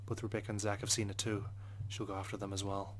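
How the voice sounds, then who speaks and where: Male game narrator, fast pace, flat intonation, introspective storytelling, slight background noise. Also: lowish voice. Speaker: let's play narrator. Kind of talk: reading visual novel narration aloud.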